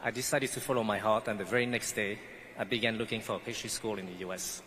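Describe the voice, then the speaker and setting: A man's voice: lowish voice, fairly even intonation, quick pace, fairly bright tone, a little hiss or hum. A man from French Guiana, not a native English speaker, giving an earnest commencement speech about his life. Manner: earnest storytelling. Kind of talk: prepared speech. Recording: echoey hall, faint ambience.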